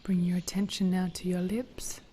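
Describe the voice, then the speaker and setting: A woman leading a guided body scan meditation in a gentle, soothing, breathy voice that drifts toward a whisper. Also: lowish voice, flat delivery, moderate pace.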